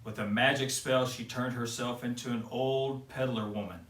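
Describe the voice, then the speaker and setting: A man reading a children's picture book aloud in a gentle storytelling way. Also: mid-range voice, steady tone. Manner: slow, gentle read-aloud. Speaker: storyteller for kids. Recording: roomy, mic at a distance.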